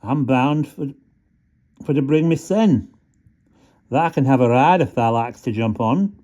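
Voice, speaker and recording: A man's voice: mid-range voice, lively intonation, unhurried, mellow, muffled recording, voice pushed up, lightly acted. A man voicing a friendly male water rat. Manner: broad dialect, homely.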